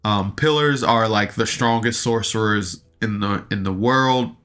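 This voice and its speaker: A man's voice: on the low side, a little slow, fairly bright tone. A man giving a casual solo podcast review in a rambling, hesitant, enthusiastic fan's way, often backtracking and using slangy wording.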